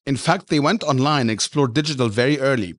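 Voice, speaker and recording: A man's voice: medium pitch, fast talker. A man hosting an interview podcast, summarizing and affirming his guest's points. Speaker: podcast host.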